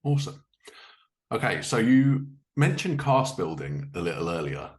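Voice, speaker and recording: Deep-voiced male podcast host. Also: big pitch swings, normal speed. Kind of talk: casual interview.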